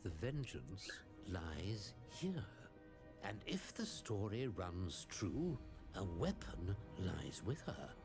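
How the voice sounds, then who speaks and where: A grave pirate-tale storyteller in a video game. The voice is deep and gravelly, telling a dark legend with slow, ominous drama over music. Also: male voice, sing-song, full character voice. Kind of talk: dramatic scripted game narration. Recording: game cutscene with loud music.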